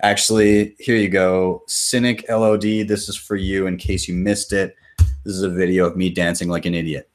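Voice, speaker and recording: A man's voice: low-pitched, flat delivery, normal speed. A man in his thirties hosting a casual live question-and-answer stream from a small room at home. He talks loosely and swears casually, reading out viewer comments and answering them off the cuff. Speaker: crypto channel host.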